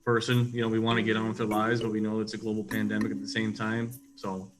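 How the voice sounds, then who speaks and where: A man's voice: lowish voice, completely flat delivery, fast. A man in his thirties, a head football coach, speaking in a level tone in an interview. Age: 30s. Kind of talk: documentary interview. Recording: guitar music playing clearly behind.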